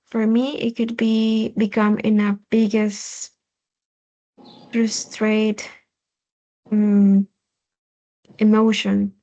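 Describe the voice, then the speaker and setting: A woman, a student answering in English on a video call, halting and searching for words. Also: medium pitch, completely flat delivery, warm tone, lo-fi sound.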